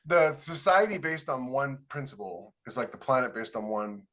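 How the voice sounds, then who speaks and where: A man's voice: mid-range voice, animated, moderate pace, fairly bright tone. A man with an American accent talking as a podcast co-host, explaining his points informally in a casual panel discussion.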